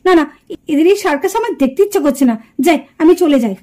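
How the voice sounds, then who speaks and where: Woman as a high-voiced, sharp-tongued village girl. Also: expressive, fast. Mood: annoyed, scornful. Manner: sarcastic, curt, muttering scornfully. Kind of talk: puppet cartoon drama acting.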